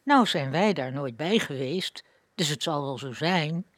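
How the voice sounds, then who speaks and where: A woman's voice: low voice, expressive, unhurried, no echo. A woman hosting a radio programme, reading a scripted introduction as narrator. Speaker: radio show host.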